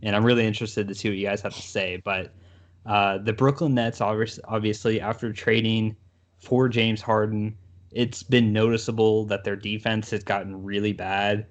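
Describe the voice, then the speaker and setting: A man with a fairly low voice hosts a casual sports talk show. He speaks conversationally, laying out topics for the others to discuss. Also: little pitch movement, moderate pace. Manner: conversational, posing questions to co-hosts. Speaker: sports podcast host.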